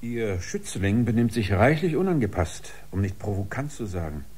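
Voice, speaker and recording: An actor playing a stern, skeptical spaceship commander in a radio drama, speaking in a dry, formal manner. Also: male voice, fairly low pitch, sing-song, normal speed, a little mellow, husky.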